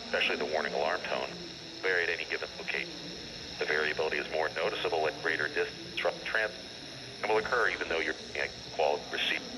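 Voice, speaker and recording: A man's voice: a bass voice, noisy background. A man reading a scripted public service announcement in a formal, robotic way over a staticky weather radio.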